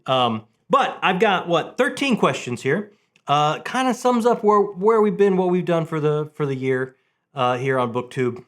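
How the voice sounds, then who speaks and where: A man hosting a casual, enthusiastic book vlog, talking in an animated way with halting restarts and repeated words. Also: fairly high pitch.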